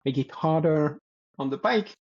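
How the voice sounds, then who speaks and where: A man around sixty with a French accent, a guest in a casual interview, talking in a halting way and searching for words. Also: fairly high pitch, lively intonation, muffled recording. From France. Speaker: guest athlete.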